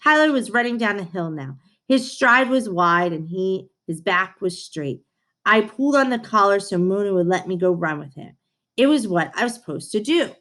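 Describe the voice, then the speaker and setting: A woman reading a storybook aloud to young listeners in an expressive, direct way, as a read-aloud host. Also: mid-range voice, moderate pace.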